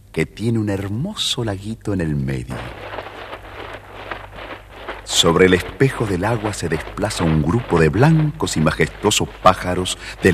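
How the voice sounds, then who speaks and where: Deep, expressive male storyteller. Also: breathy edge. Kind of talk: expressive scripted children's storybook narration.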